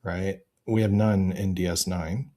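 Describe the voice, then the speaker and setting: A man speaking as a podcast guest in casual fan chat, rambling in an animated way and interrupting himself. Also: sits low, measured pace.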